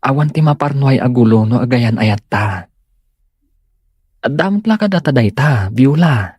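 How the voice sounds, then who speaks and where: A man's voice: medium pitch, animated, measured pace, a little mellow, breathy edge, no room sound, clean studio sound. A man acting an earnest, devoted young suitor of simple means in an Ilocano drama. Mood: loving, sincere. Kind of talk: Ilocano comedy radio-style drama acting.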